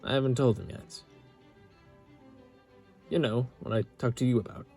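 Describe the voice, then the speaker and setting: A man playing a loyal young knight in love with his princess, speaking gently and earnestly with halting pauses in a romantic audio roleplay. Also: mid-range voice, gravelly, close to an everyday voice. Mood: fond, earnest. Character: loyal young knight, the princess's tender childhood-friend lover. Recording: orchestral music behind the voice.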